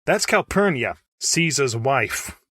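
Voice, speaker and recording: An actor playing an eager, excitable Roman senator with slangy, earnest delivery in old-time radio comedy style. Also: male voice, mid-range voice, takes it slowly, no room sound, clean studio sound, heavily acted. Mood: confiding.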